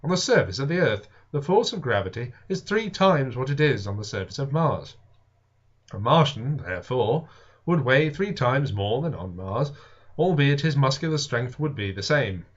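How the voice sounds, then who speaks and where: A man narrates a literary story in a theatrical, deliberate way, shaping phrases expressively. His voice sits at a medium pitch. The recording is dull and lo-fi, like a homemade audiobook. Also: big pitch swings. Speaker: audiobook reader. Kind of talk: dramatic literary narration of a Victorian science fiction novel.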